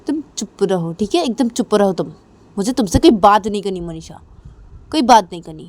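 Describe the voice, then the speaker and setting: A woman voicing an angry, sullen woman in an amateur audio story, snapping curt, forceful, repeated commands. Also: fairly low pitch, moderate pace, vocal fry, husky. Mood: furious. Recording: noticeable background noise.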